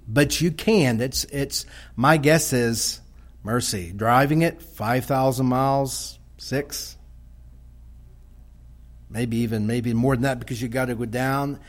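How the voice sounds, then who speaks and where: Slow folksy Texan missionary man. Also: medium pitch. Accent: Texas. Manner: slow, folksy, halting with many restarts. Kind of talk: church missionary report with Q&A.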